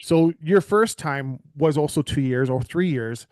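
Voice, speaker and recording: A man's voice: a little high, unhurried, a little mellow, no echo. Earnest Canadian man hosting a talk. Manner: earnest, rambling, many "like" fillers and restarts. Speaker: podcast host. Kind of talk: casual interview chat.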